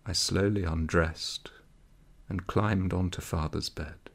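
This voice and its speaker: Slow, gravelly, deep-voiced male narrator. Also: steady tone. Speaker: audiobook reader. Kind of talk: literary story narration.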